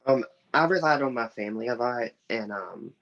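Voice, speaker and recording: A man's voice: medium pitch, lively intonation, normal speed, vocal fry, fairly bright tone, highs cut off. A young man with a Southern US accent, talking casually and earnestly as an interview guest. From South Carolina, United States. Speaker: interview guest, author and musician.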